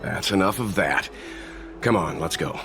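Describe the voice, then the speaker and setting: An actor playing a calm, courteous, reserved mature gentleman traveler in a video game, speaking politely and in a measured way. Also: deep voice, heavily acted. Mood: firm, calm. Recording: game music behind the voice. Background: background music.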